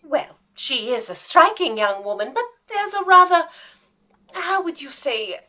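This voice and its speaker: High, bright, inquisitive lady's assistant, acted by a woman. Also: very animated, unhurried, exaggerated voice. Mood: curious, gossipy. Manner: inquisitive, deferential, gossipy. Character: eager, chatty, admiring female detective's assistant. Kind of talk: period radio drama acting.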